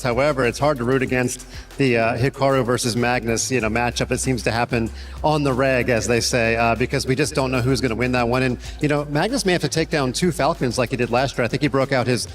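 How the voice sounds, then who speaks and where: A man working as a chess broadcast analyst, giving conversational sports-style analysis with folksy, colloquial phrasing. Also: mid-range voice.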